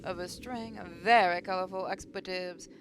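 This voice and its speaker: A woman reading a visual novel aloud as expressive first-person story narration. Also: mid-range voice, moderate pace, slight background noise. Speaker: gaming channel host reading a visual novel. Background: guitar music.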